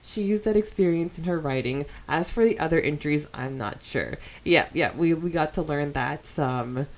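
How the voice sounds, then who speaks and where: A woman with a fairly low voice co-hosts a fan podcast. She talks informally, moving between reading listener comments and reacting to them in loose, conversational asides. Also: moderate pace, a little mellow. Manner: informal and conversational, reacting aloud to what is read. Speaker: podcast co-host.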